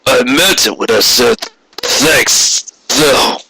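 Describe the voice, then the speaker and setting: A man voicing a big, gruff, cynical stallion boss in a storybook, with a slow, forceful, grudging way of talking. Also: medium pitch, slight background noise, exaggerated voice, low-quality recording. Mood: gruffly grateful.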